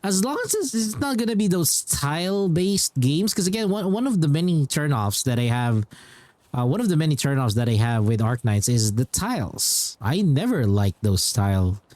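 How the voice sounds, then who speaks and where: A man's voice: on the high side, sing-song, normal speed, darkish tone, no room sound. A man chatting casually as a game streamer, rambling informally and often repeating his phrases. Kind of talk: casual livestream chat and commentary.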